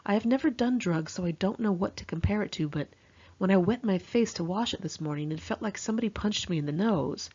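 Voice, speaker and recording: A woman narrates a confessional memoir in a wry, conversational voice. Her delivery is very animated, swinging up and down for dramatic effect, with a somewhat husky tone. The recording is dull and lo-fi, like a homemade audiobook. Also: mid-range voice, brisk. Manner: candid, wry, dramatically expressive. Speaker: author reading own memoir. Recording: muffled lo-fi home recording.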